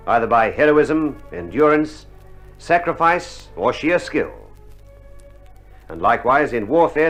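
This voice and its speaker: An actor playing a proud, solemn Australian war correspondent, giving scripted dramatic narration in a measured, oratorical way. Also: male voice, medium pitch, a bit bright, lightly acted.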